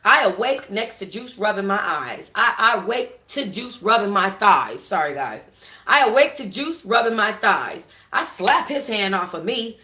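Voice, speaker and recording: A woman's voice: mid-range voice, big pitch swings, measured pace, pushed and bright, reverberant. A woman narrating a first-person story for a book club in a dramatic, sassy, slangy manner.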